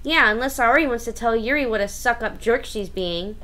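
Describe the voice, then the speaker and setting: Prickly little schoolgirl for visual novel, played by a woman: bratty, very high-pitched, snappish, soft music behind. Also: normal speed. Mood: indignant. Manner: blunt, huffy, snappish. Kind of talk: visual novel voice-over read aloud.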